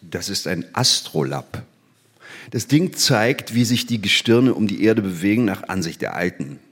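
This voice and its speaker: An actor playing an enthusiastic mathematics teacher, declamatory and didactic, in a theatrical drama. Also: male voice, on the low side, lively intonation, measured pace, breathy edge, full character voice. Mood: instructive. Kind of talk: theatrical scripted performance.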